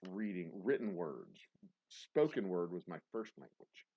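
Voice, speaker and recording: A man's voice: sits low, big pitch swings, measured pace, gravelly, warm tone, husky, lo-fi sound. A man hosting a trivia game night on a livestream, rambling with self-mocking jokes and restarts. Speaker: host reading trivia questions on a livestream. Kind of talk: casual live-stream banter.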